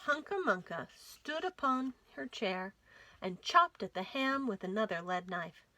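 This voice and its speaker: A woman reading a children's story aloud as a storytime host, with dramatic, expressive delivery. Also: mid-range voice, unhurried, poor audio. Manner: dramatic, animated storytelling with whispers and emphasis. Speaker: library storytime reader.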